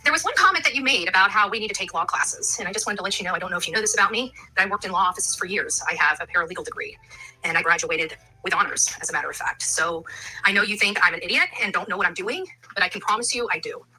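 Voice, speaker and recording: Female, self-assured, leaving a pointed message, rapid delivery, raspy edge. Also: mid-range voice, lively intonation, pushed and bright, rough recording. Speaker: YouTuber leaving a voicemail.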